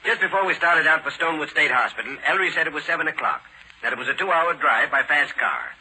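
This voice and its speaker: Gruff excitable older american police inspector, 40s radio, sharp high voice, barks his lines, some hiss. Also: quick pace, exaggerated voice. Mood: baffled, insistent. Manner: barking, emphatic. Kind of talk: 1940s radio drama acting. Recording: noisy 1940s radio recording.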